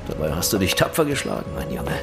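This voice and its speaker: An actor playing a sorrowful, fatherly old keeper of knowledge in a fantasy audio drama, with a gravelly voice. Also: sits low, wildly swooping pitch, fast, loud music behind the voice, exaggerated voice. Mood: solemn, fatherly.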